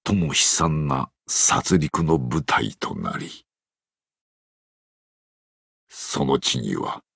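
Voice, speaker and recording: An actor playing a grave old samurai warlord in a video game, speaking solemnly in weighty phrases with a gravelly, husky voice. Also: male voice, a bass voice, animated, extremely slow, fairly bright tone, no echo, clean studio sound, exaggerated voice. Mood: grim, solemn. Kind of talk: solemn dramatic game acting and narration.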